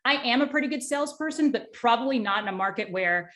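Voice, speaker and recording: A woman interview guest talking conversationally, in a candid, excitable and talkative way. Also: a little high, fast. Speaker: tech startup executive, interview guest.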